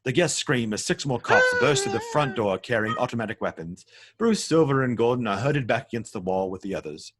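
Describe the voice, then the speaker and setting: A man's voice: mid-range voice, expressive, brisk, a bit bright. A man reading scripted stage directions as narrator of a table read.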